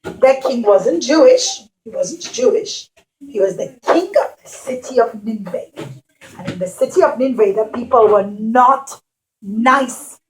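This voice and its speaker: A woman's voice: on the high side, a little slow, poor audio. A woman telling a puppet story to young children, speaking simply and directly to them with plenty of repetition.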